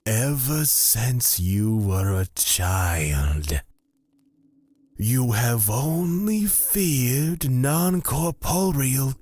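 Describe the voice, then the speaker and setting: An actor playing a calm, menacing god of fear, speaking deliberately and formally in a husky, breathy voice in a fantasy audio drama. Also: male voice, mid-range voice, exaggerated voice. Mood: amused, measured. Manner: deliberate, formal, drawn-out phrasing.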